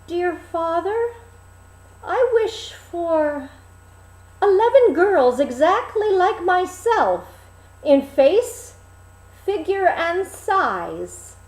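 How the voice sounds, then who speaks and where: A woman voicing a lovelorn young maiden in a fairy tale, pleading in halting, drawn-out phrases. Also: very high voice, very animated, darkish tone, roomy sound, voice pushed up, exaggerated voice, low-quality recording. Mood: wistful, determined. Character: sad, lovelorn young maiden, a dutiful daughter.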